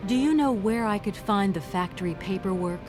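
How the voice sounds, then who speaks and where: A woman's voice: mid-range voice, lively intonation, a little fast, music in the background, close to an everyday voice. An actress voicing a polite, inquisitive adventure game heroine, courteous and questioning in her video game dialogue. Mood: polite, curious.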